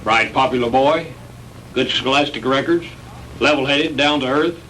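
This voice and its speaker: A man plays a matter-of-fact investigator in a 1960s B-movie. His voice is bright and medium-pitched, picked up at a distance on an old film soundtrack. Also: normal speed, slight background noise, close to an everyday voice. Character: matter-of-fact male investigator reporting findings. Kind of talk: 1960s B-movie dialogue acting.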